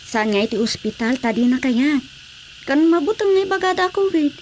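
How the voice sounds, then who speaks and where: A woman's voice: high voice, lively intonation, normal speed, a little mellow. A woman with an Ilocano accent playing an exasperated, grumbling village wife in a radio drama comedy. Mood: worried, grumbling. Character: exasperated wife of a stubborn, ailing village councilor. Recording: faintly noisy radio drama track.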